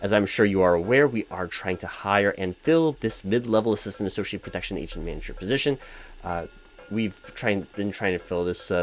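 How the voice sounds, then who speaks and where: A man's voice: fairly low pitch, natural voice. A man playing a flustered, distracted, eager corporate hiring manager who rambles and stammers in a comedic job interview roleplay. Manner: halting, stammering, easily sidetracked, apologetic.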